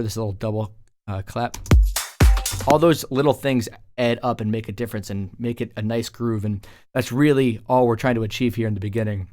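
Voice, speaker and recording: A man's voice: mid-range voice, a little mellow, no room sound, clean studio sound. A man talking casually as a music producer, explaining his work in an informal tutorial voice-over. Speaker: music producer presenting a track breakdown.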